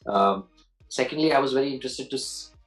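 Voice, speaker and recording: A man's voice: mid-range voice, fast talker, faint music behind, poor audio. A man in his forties, an actor answering interview questions in a loose, rambling way, often restarting and repeating words, with easy laughs. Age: 40s.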